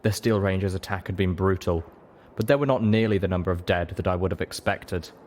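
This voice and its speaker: A man reading a dramatic story as an audiobook narrator, measured, solemn and emotive. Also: deep voice, a little mellow, a little hiss or hum. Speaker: audiobook reader.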